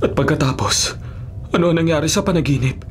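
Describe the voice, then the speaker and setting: A man's voice: a little high, brisk, a little hiss or hum, natural voice. An actor playing an earnest, devout young servant man in a Filipino radio drama, respectful and heightened in delivery. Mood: earnest, solemn.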